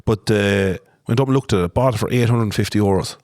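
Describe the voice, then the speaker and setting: Irish guy in his 30s, munster accent, low voice, laughs and swears while telling a story, dry sound. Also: quick pace, darkish tone, no room sound. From Ireland, where Cork, Limerick and Tipperary meet. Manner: laughing, swears freely, rambling. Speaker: guest, farmer. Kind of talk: casual chat, storytelling.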